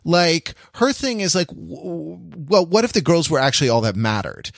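A man co-hosting a casual, reflective pop culture discussion, halting and stammering as he works out his ideas. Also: fairly high pitch, sing-song, gravelly, no room sound, studio quality. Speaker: podcast co-host.

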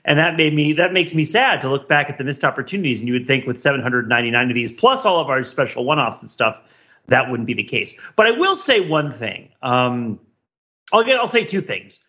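A man's voice: medium pitch, lively intonation, a little fast, bright, forceful tone. A man co-hosting a podcast, giving rambling, mock-serious commentary on trivial things in a casual style. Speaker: podcast co-host. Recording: slightly dull, lo-fi audio.

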